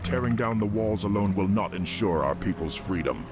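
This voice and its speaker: A man's voice: lowish voice, animated, moderate pace. A man voicing a proud, idealistic young orc shaman in fantasy game dialogue. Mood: resolute. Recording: loud music under the voice. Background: loud music.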